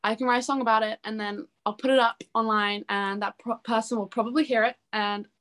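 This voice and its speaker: A woman's voice: on the high side, fairly even intonation, fairly quick, fairly bright tone. A young woman chatting casually and enthusiastically as a musician being interviewed. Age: young adult.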